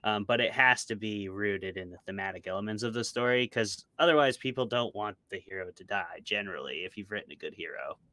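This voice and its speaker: A man with a low voice and a bright, forceful edge talks in a casual interview. He offers opinions and works through examples in a conversational way. The sound is dry and close. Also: a little fast, few pauses, no room sound. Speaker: interview guest, author and podcast co-host.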